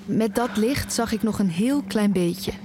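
A woman's voice: medium pitch, measured pace, background noise, lightly acted. An actress playing an anxious young student who tells of her search in an audio drama. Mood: tense, hushed narration. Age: young adult. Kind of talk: Dutch audio drama, first-person narration and dialogue. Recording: music behind the voice.

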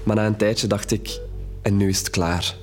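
A man's voice: fairly low pitch, fairly even intonation, moderate pace, faint music behind, lightly acted. An actor playing a grieving, candid young man telling of his troubled past in an audio drama. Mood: reflective, uneasy. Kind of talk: audio drama acting, hesitant storytelling.

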